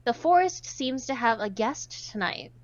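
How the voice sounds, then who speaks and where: Playful higher-voiced woman, muffled recording. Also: animated, a little slow. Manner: playful, jokey asides. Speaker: streamer reading a visual novel aloud. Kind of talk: casual group chat mixed with read-aloud narration.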